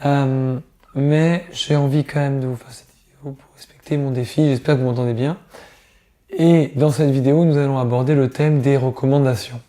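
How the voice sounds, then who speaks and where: A middle-aged male career coach talks straight to camera, his voice hoarse from a cold. The tone is very dark and mellow. He pushes his listeners to act in a direct, coaxing manner. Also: medium pitch, steady tone, moderate pace. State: hoarse from illness. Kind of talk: casual advice talk to camera.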